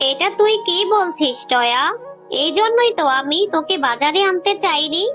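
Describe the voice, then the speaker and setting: A woman's voice: high-pitched, lively intonation, normal speed, pushed and bright, faint music behind. A woman voicing a poor cartoon fox mother, patient but firm with her daughter. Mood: scolding. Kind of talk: children's cartoon voice acting.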